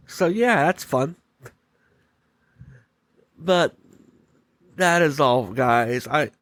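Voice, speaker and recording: A man hosting a solo podcast, giving casual opinion commentary in short, halting phrases that he often repeats. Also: a little high. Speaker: podcast host.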